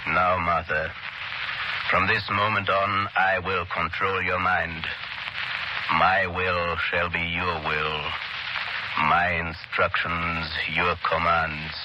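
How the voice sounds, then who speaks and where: An actor playing a sinister, cold hypnotist doctor with slow, commanding delivery in a 1930s radio drama. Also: male voice, low-pitched, flat delivery, dull audio. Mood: hypnotic, domineering. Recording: noisy 1939 radio broadcast recording.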